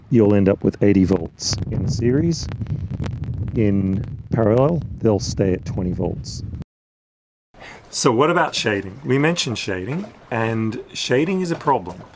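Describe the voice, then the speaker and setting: A man's voice: fairly low pitch, expressive, background noise. A man in his fifties explaining, step by step, how he installed his catamaran's solar panels, speaking outdoors on deck. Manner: practical, step-by-step, with filler pauses. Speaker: boat owner and channel host.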